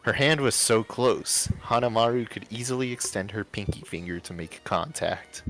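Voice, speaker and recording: A man's voice: lowish voice, moderate pace, fairly bright tone, rough recording. A man reading a story aloud as a livestream narrator, expressively and with casual asides. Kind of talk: expressive fan fiction read-aloud with casual banter. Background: music.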